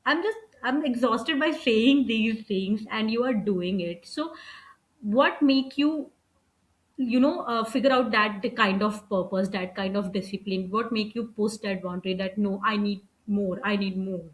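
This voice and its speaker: A woman's voice: mid-range voice, measured pace, rough recording. A woman hosting an interview, asking her guest enthusiastic, admiring questions. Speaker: podcast host.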